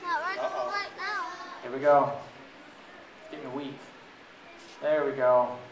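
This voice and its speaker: A man performs as a playful, theatrical storyteller for a gathering of families, checking in with his listeners as he goes. He sounds distant from the microphone, in a roomy, reverberant space with clear background noise. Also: medium pitch, huge pitch swings, slow pace. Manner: theatrical, playful, addresses the audience with questions. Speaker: children's book reader and blogger. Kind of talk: animated read-aloud to a group of families. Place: large echoey indoor room at a school event. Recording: echoey noisy room, distant mic.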